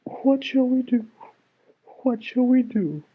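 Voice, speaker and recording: A man's voice: very high voice, animated, takes it slowly, a little hiss or hum, pitched above the performer's own voice, exaggerated voice. A man voicing a hard-working, worried but wise mother in a fairy tale, plaintive and scolding. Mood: distraught. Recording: dull lo-fi home recording.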